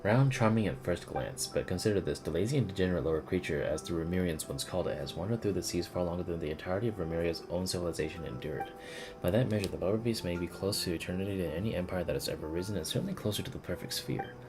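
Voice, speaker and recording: A low-pitched man does livestream game commentary. He drifts between casual, amused asides and reading game text out loud, with clear game music playing behind him. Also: very fast. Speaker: game streamer.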